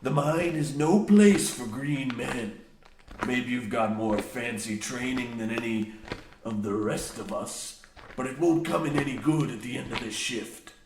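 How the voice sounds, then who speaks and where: Give it a slow, roomy sound: a man playing a fanatical old mine foreman who preaches grandly in archaic, piratical speech. It is radio play horror parody, with a little noise in the recording. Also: medium pitch, lightly acted. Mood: ominous. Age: older. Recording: roomy echo, faint noise.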